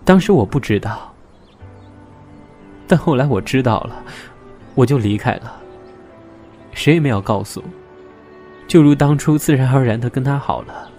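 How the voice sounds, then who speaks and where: Male actor as gentle young man, medium pitch, reflective and hesitant, audio drama with faint music behind. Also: expressive, normal speed, long pauses, lightly acted. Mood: wistful. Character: gentle, wistful young man recalling lost love.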